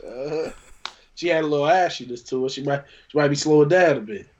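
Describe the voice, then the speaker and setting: A man's voice: a little high, fast, darkish tone, lo-fi sound, slight background noise. A man co-hosting a podcast, teasing the others in casual banter with mock-formal questions. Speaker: podcast co-host.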